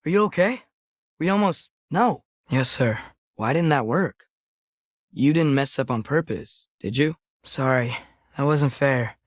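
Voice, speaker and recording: Fantasy game role: loyal, impulsive teenage son acted by a man, earnest and expressive, mid-pitched voice, dry studio sound. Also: a little slow, no room sound, lightly acted. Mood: eager, unsure. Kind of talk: video game character dialogue.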